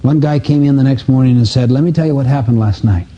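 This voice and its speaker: A man's voice: medium pitch, fast, warm tone. A man giving a motivational seminar lecture, telling anecdotes in an earnest, emphatic way. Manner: earnest, emphatic, storytelling delivery. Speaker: seminar speaker. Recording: dull muffled lo-fi audio.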